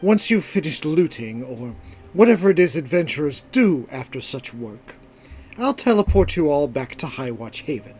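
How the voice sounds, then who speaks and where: A man voicing a smooth, practiced, aloof mage with a condescending way of talking in a fantasy story. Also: fairly high pitch, very animated, moderate pace, darkish tone. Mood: aloof, dismissive. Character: suave, aloof male mage with secrets. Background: background music.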